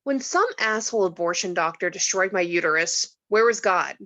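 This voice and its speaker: A woman's voice: lowish voice, lively intonation, moderate pace, sharp tone, dry recording. A woman reading the part of a skeptical, bitter, reluctant mortal in a script table read, blunt and questioning.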